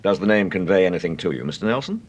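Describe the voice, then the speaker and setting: British gentleman detective, radio drama, swooping animated pitch, husky, courteous, probing. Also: dull audio. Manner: measured, courteous, probing questioner.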